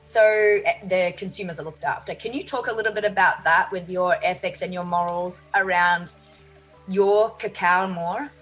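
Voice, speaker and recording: Effusive woman host, halting and heartfelt. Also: fairly low pitch, steady tone, faint music behind, poor audio. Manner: warm, effusive, halting with restarts. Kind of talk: casual interview.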